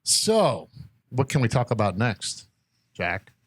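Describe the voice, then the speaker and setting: A man's voice: medium pitch, sing-song, takes it slowly, husky. An American man hosting an interview in a casual, chatty style. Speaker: interviewer, host. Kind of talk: casual chat.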